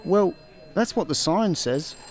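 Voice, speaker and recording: A man's voice: high-pitched, animated, slow, soft background music. A Scottish man playing a laid-back, wry space slacker in a comedic radio play, dry and matter-of-fact. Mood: wry, casual.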